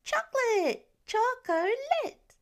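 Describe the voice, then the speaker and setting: Squeaky, excitable little boy voiced by a woman. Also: takes it slowly, heavily acted. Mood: excited. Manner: squealing, eager.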